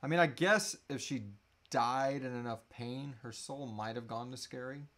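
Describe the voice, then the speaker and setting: A man's voice: mid-range voice, moderate pace, fairly bright tone. A man in his thirties chatting casually and informally as host of a live Q&A, with a joking manner. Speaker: host of a live Q&A, audio drama creator. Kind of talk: casual chat, answering audience questions. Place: small room at home, indoors, seated.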